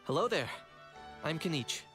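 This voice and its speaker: A man voicing a calm, dry-witted young traveler in a video game. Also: fairly high pitch, moderate pace, soft background music. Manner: matter-of-fact, dry. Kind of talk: video game character acting.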